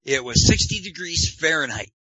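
A man's voice: fairly high pitch, sing-song, moderate pace, pushed and bright, breathy edge, no echo. Sarcastic Canadian man hosting. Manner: sarcastic, joking. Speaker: podcast host. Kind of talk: casual chat. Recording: dull lo-fi dry sound.

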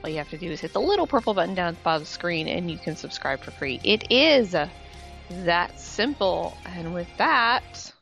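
A woman co-hosting a casual wrestling talk radio show, chatty and animated, saying her voice does not go low. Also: normal speed, creaky, faint music behind. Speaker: podcast co-host.

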